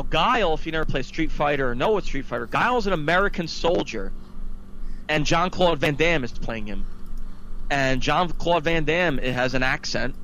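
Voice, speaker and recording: A man's voice: a little high, a bit bright, a little hiss or hum, poor audio. A man co-hosting a casual podcast, talking in an emphatic, exasperated, opinionated way and repeating himself for emphasis. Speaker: podcast co-host. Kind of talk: casual opinionated podcast chat about films.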